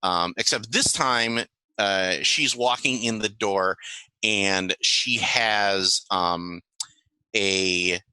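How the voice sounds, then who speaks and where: A man improvising narration as a tabletop game master, halting and often correcting himself. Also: fairly low pitch, bright, forceful tone, smooth, no echo, poor audio.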